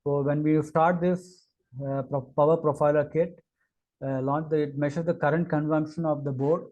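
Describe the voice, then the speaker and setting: A man walking through a technical product demo, talking in a halting way with many fillers and restarts. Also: fairly high pitch, steady tone, a little mellow, dull audio. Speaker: engineer giving a product demo. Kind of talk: screen-recorded technical walkthrough.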